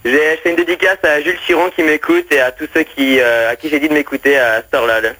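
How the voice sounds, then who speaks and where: A man's voice: fairly high pitch, steady tone, fast, pushed and bright, no echo, low-quality recording. A young man from France with a slight Québécois accent, calling in to a radio show and chatting casually with fillers. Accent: slight Québécois French. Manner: chatty, adds many fillers. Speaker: caller. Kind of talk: casual phone-in chat.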